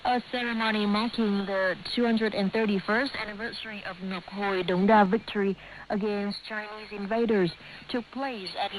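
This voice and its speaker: Vietnamese-accented woman newsreader on hissy shortwave. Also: medium pitch. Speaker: news presenter. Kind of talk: scripted news reading. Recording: noisy hissing shortwave radio broadcast.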